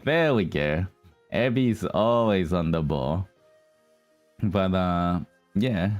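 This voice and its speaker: A man with a fairly low voice hosts a casual livestream. He rambles along informally, talking straight to his audience as a group. Music plays behind him. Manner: rambling, addresses audience as guys. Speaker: livestream host, virtual streamer. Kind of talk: casual livestream chat with viewers. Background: background music.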